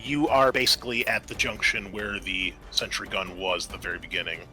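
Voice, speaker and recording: A man's voice: on the low side, steady tone, fairly quick, pushed and bright, soft background music. A man acting as game master, narrating a tabletop game and explaining things conversationally.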